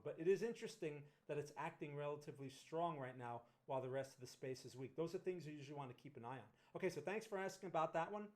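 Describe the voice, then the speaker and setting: A man's voice: a little high, a little mellow. New Jersey man talking markets. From Northern New Jersey. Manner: conversational, frequent "right?" tag questions and restarts. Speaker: trading livestream host. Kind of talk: casual livestream market analysis.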